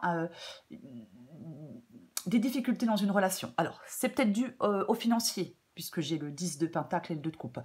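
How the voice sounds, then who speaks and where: Slightly hoarse French woman reading tarot. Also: fairly low pitch, a little mellow. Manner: hesitant, many fillers and repeated phrases. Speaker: tarot reader. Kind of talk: casual spoken tarot guidance.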